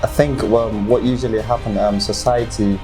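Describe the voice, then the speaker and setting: A young man from northern Nigeria speaks in a lowish voice with a Nigerian accent. He tells his story earnestly and haltingly, with plenty of ums, and faint guitar music plays behind him. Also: fairly even intonation, low-quality recording. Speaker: guest, football player. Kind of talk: personal story in an interview.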